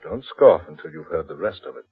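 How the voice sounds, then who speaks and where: A man's voice: low voice, lively intonation, brisk, gravelly, breathy edge, exaggerated voice. A man playing an ominous, superstitious old man in an old radio horror play, grave and theatrical. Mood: insistent. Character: ominous old man who believes in eerie legends. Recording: thin, phone-like old radio recording.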